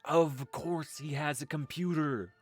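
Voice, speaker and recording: A man's voice: fairly high pitch, slow pace, breathy edge, faint music behind. An actor playing a wry, exasperated young man in an audio drama, with a theatrical, sarcastic delivery. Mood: alarmed, sarcastic.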